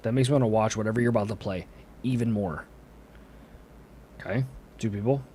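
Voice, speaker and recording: A man's voice: lowish voice, fairly quick, warm tone, a little hiss or hum. A man hosting a reaction video, giving casual, exclamatory commentary full of slang.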